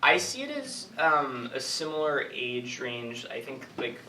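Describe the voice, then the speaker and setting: A man's voice: mid-range voice, big pitch swings, measured pace, pushed and bright. Guy in his 20s doing casual q&a, rambly, lots of likes, distant mic with noise. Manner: hesitant, rambling, heavy use of "like". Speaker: writer answering audience questions. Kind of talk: informal Q&A talk. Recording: echoey room, distant mic, noisy.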